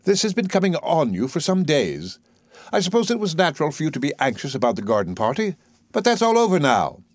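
A man's voice: medium pitch, sing-song, moderate pace, dry recording, clean studio sound, pitched above the performer's own voice. A man playing an arrogant, impatient male professor with an imperious, condescending, exclamatory way of talking in a play reading. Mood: lofty, uneasy.

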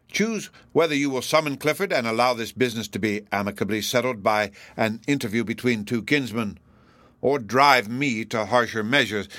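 A man voicing a pompous, menacing elderly judge in a classic novel audiobook, speaking in a formal, measured, self-righteous way. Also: mid-range voice, a bit bright, no room sound, close to an everyday voice. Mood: stern, unyielding.

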